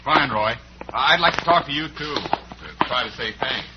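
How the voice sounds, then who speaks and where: Casting: man to play apologetic, humble father, medium pitch, vintage radio drama with dull, noisy sound. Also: expressive, moderate pace, lightly acted. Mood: grateful. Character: humble, apologetic, grateful father, a ranch guest. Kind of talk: old-time radio western acting.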